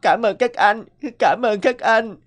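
A man voicing a small, meek young male crow in an animated fable, speaking in a pleading, humble way. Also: high voice, unhurried. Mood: grateful, meek.

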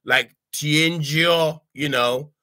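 Older man from Cameroon, language tutor, bright forceful voice, halting delivery full of uh's, dry close webcam sound. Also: fairly high pitch, fairly even intonation, dry recording. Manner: halting, many "uh"s and restarts, frequent "okay?" check-ins. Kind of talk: informal online language lesson. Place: home study with bookshelves. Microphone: webcam on a video call.

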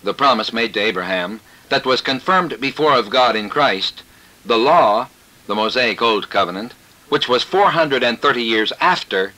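A man preaching a scripted sermon in a formal, declarative manner, reading scripture and citations in measured phrases, on an old cassette tape recording. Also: mid-range voice, pushed and bright, poor audio.

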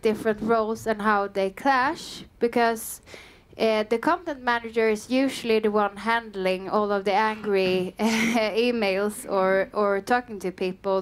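A woman's voice: medium pitch, clean tone. A young woman with a southern Swedish accent giving a casual meetup talk on a headset mic, chatty and full of um and uh fillers. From Sweden. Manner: chatty, frequent um and uh fillers, light self-deprecating humor. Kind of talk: conference presentation. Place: dim meeting room with a small audience.

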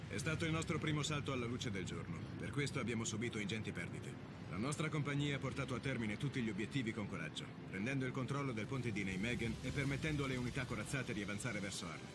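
An actor playing a composed, dutiful army colonel reading an after-action report in a formal, clipped military delivery. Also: male voice, mid-range voice, lively intonation, quick pace, very noisy, lightly acted. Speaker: military officer narrator.

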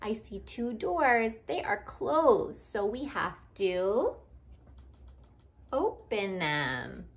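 A woman's voice: mid-range voice, sing-song, drawn-out words, creaky. A woman doing an interactive picture-book read-aloud for young children, speaking in simple, exaggerated phrases with many questions. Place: living room at home, indoors.

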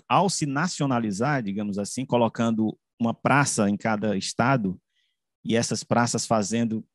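A man with a Brazilian accent giving an explanatory academic presentation over a video call, halting as he repeats and corrects his words. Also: mid-range voice, dry recording, studio quality. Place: indoor room, on a video call. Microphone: video call microphone.

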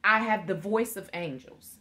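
A woman's voice: mid-range voice, measured pace, pushed and bright. Earnest woman on a home video call. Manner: earnest, gestures while talking, laughs often. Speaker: guest speaker on a live video call interview. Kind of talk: motivational talk. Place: living room at home. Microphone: webcam on a video call.

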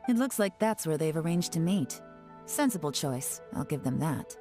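A woman voicing a calm, commanding female spymaster in a game, with a dry, composed delivery. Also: low voice, moderate pace. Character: cool, composed female spymaster giving orders. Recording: game audio with music.

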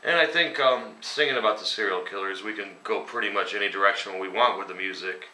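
Low-voiced man, rambling in an echoey room. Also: fast talker, pushed and bright. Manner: casual, rambling, many ums, chuckles at grim stories. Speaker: metal band singer, interview guest. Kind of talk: relaxed conversational interview answers. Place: dining room at home, indoors. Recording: echoey room, voice a bit distant.

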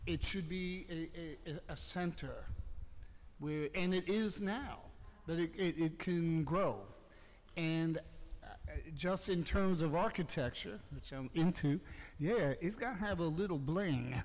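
Higher-voiced man speaking in an echoey hall. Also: a little slow. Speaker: audience member. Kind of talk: unscripted public comment. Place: large museum event hall, indoors. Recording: echoey hall with background noise.

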